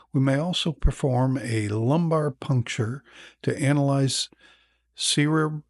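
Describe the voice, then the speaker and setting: A man acts out a male neurologist in a practice consultation. His mid-range voice is calm and attentive, explaining things in measured phrases with small hesitations. The sound is dry, with no room to it. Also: mid-range voice, no room sound, close to an everyday voice. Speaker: narrator and actor in a listening exam practice. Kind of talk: acted medical consultation for exam practice.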